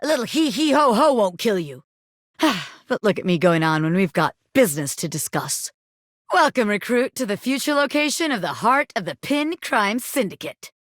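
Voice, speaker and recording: A woman's voice: medium pitch, very animated, moderate pace, no room sound, clean studio sound. A woman voicing a cocky, self-important bobby pin who fancies itself a crime boss in a video game, swaggering, slangy and boastful. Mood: indignant. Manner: swaggering, slangy, boastful, peppering talk with pet phrases. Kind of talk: video game character voice acting.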